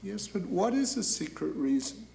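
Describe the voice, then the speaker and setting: A man's voice: high voice, big pitch swings, normal speed, gravelly, darkish tone, heavily acted. A man voicing a meek, polite male angel who questions hesitantly and mildly, in a satirical stage monologue. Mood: meek, doubtful. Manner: hesitant, mild, deferential questioning. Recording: echoey room.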